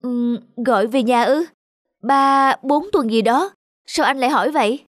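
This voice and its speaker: A woman voicing a modest, affectionate young working daughter in a cartoon, polite and soft-mannered. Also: high-pitched, measured pace, dry recording, clean studio sound. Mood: puzzled, grateful. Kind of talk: cartoon dubbing.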